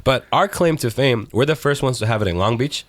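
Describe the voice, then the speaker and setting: A man's voice: medium pitch, animated, fairly quick, no echo. A man from the United States, a bar owner speaking as a guest in casual chat, talking with enthusiasm and pride.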